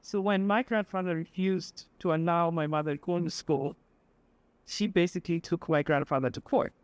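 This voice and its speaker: A woman from Sudan, giving an earnest, measured speech as a guest speaker, pausing often with fillers. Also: fairly low pitch, fairly even intonation, a little mellow, dry recording. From South Sudan. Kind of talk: public speech at an event.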